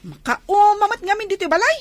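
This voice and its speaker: A woman's voice: very high-pitched, lively intonation, a little fast, dry recording. A woman playing a rebellious, sullen young village daughter with an Ilocano accent, defiant and whiny, in radio drama style. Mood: sullen, annoyed. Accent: Filipino, Ilocano. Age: young adult. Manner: defiant, whiny, talking back.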